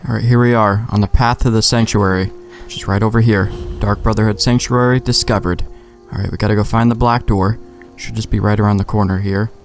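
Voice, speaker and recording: A man's voice: lowish voice, steady tone, brisk, faint music behind. A man giving casual, wry let's play commentary, joking and swearing.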